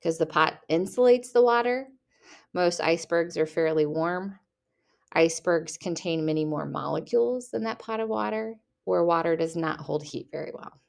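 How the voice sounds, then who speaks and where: A woman's voice: lowish voice, moderate pace, vocal fry. A young woman teacher reading test questions aloud and rephrasing them playfully for her students, recorded at her computer. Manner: reads each question, then rephrases it playfully.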